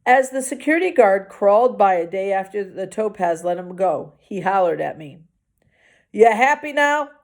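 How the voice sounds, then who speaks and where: A woman's voice: medium pitch, moderate pace, few pauses, darkish tone. A woman reading a children's novel aloud expressively, as a teacher does for a class.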